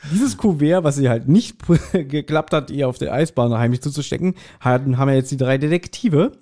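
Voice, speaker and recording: Mellow-voiced man chatting casually. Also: medium pitch, brisk. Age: 40s. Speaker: podcast co-host. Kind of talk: casual podcast chat recapping an audio drama.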